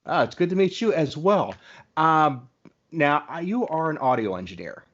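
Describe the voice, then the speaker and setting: A man's voice: fairly high pitch, very animated, normal speed, fairly bright tone. A man hosting a podcast, chatting casually as he interviews a guest. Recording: dull, lo-fi audio.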